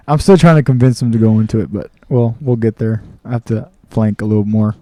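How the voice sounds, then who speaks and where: A man hosting a casual podcast, chiming in quickly and asking follow-up questions. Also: medium pitch, mellow, dry recording.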